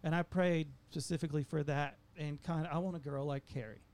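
Slightly high-voiced man telling his story. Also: fairly even intonation, fairly quick, darkish tone. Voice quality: mentions a stutter. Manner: candid, self-deprecating storyteller. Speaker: guest sharing testimony. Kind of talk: casual chat.

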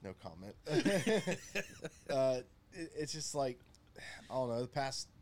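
A man in his thirties talking casually and laid-back, pausing often with fillers as he tells his story. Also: medium pitch, very animated, darkish tone. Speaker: guest. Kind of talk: casual chat.

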